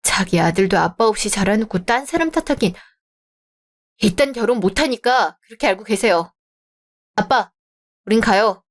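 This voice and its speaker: A woman's voice: medium pitch, lively intonation, moderate pace, long pauses, slight background noise, natural voice. A woman voicing a composed young bride-to-be in a radio drama, polite but firm as she argues her point.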